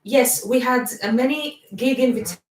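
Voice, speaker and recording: A woman's voice: on the high side, normal speed, background noise. A woman with a foreign accent chatting casually on a livestream, rambling with lots of fillers. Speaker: band singer and co-host. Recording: roomy sound from a distant mic.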